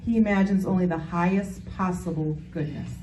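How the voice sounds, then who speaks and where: A woman's voice: on the low side, fairly quick, mellow, a little hiss or hum. A woman reading a scripted part in a church reader's theater. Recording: echoey hall, distant mic, music behind.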